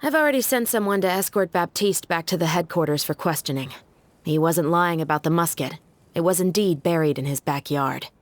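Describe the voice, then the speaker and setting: A woman's voice: on the low side, a little fast, no echo, lightly acted. A woman voicing a composed, businesslike female police officer in a video game.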